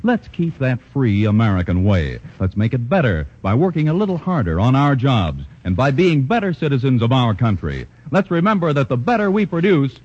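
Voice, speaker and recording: A man reading commercials in the smooth, persuasive style of a 1940s radio announcer. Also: medium pitch, big pitch swings, normal speed, hardly any pauses, darkish tone, slight background noise. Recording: muffled old radio broadcast.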